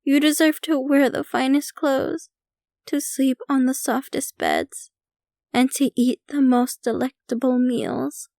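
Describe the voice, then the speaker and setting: A woman's voice: high voice, sing-song, takes it slowly, mellow, no room sound, studio quality, full character voice. A woman playing a possessive, rich yandere vampire girlfriend in an ASMR roleplay, speaking to the listener in a theatrical, doting, gushing manner. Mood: obsessively adoring. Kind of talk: ASMR roleplay, scripted intimate monologue to listener.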